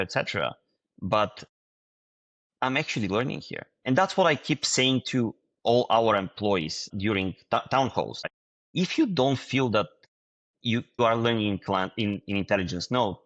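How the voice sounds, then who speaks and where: A man from Bulgaria, a startup founder answering interview questions in a halting way, with frequent restarts and fillers. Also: lowish voice, a bit bright, no echo.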